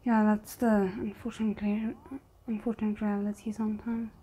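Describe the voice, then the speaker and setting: A woman's voice: medium pitch, flat delivery, moderate pace, mellow, slightly rough, low-quality recording. A woman hosting a reaction video, giving casual commentary and thinking aloud as she goes.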